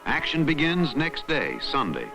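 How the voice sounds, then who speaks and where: A man narrating a historical documentary in a solemn, dramatic, measured newsreel style. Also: fairly high pitch, wildly swooping pitch, low-quality recording. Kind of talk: scripted historical documentary narration, mid-century newsreel manner. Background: music.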